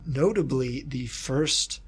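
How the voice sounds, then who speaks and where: A man from Kentucky, a historian of East Asia, talking about his research in a relaxed interview, with many asides and restarts. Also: mid-range voice, slow pace, slight background noise. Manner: thoughtful, rambling with asides and restarts. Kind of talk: casual reflective interview talk.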